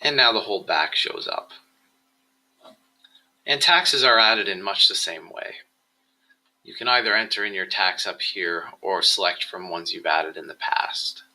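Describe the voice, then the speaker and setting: A man with a lowish voice and a very bright, forward tone narrates an instructional screencast. He explains things step by step in a measured way, as a presenter guiding viewers through software. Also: poor audio.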